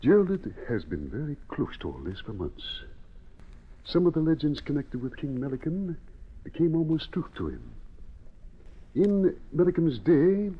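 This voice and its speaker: Expressive, formal archaeologist. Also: male voice, fairly high pitch, slightly rough, exaggerated voice. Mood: grave explaining. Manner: formal, measured lecturing. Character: proud, formal archaeologist doctor, earnest and grave. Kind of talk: old-time radio acting. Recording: muffled, noisy old radio recording.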